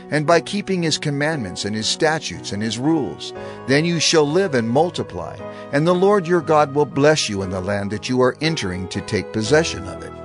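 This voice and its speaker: A man plays an elderly prophet addressing his people in a scripture drama. His delivery is earnest and emphatic, with lively, wide-swinging intonation over clear background music. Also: medium pitch, close to an everyday voice. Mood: earnest, urgent.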